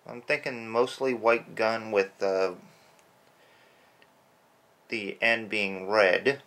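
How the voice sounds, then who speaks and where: A man with a lowish voice talks softly while painting a toy at his desk. He wanders from thought to thought, with plenty of fillers. A slight roughness sits in his throat from allergies. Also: steady tone, very slow. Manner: soft-spoken, rambling with fillers. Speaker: toy customizer. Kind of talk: casual hobby narration while painting.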